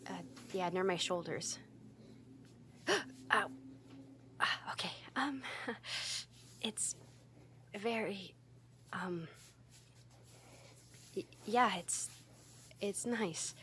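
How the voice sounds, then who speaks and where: Woman acting a slow-paced, flustered tsundere roommate. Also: mid-range voice, a bit bright, slight background noise. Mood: softened, awkward. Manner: stammering, defensive, huffy, hesitant. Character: flustered tsundere female roommate, easily embarrassed, secretly fond. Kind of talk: ASMR roleplay acting.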